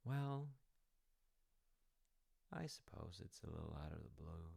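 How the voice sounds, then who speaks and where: A man playing a gentle, slightly fussy middle-aged dad in an intimate audio roleplay, chatting in a hesitant, musing, softly wry way. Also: rumbling low, very animated, measured pace, creaky, heavily acted. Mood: curious, caring. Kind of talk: intimate audio roleplay, improvised-sounding chat.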